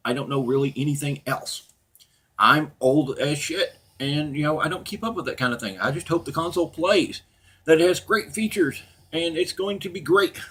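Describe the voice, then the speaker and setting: A middle-aged man with a husky voice, talking casually and off the cuff as a blunt, profane, opinionated gaming channel host. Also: on the high side, bright, forceful tone. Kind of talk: casual unscripted rant and commentary to viewers.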